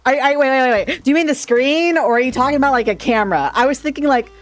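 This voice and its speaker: A man chatting casually on a livestream with a slightly shrill, sharp-sounding voice, rambling playfully and tripping over his words. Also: fast talker, soft background music. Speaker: streamer. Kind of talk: casual livestream chat with viewers. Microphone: desk microphone.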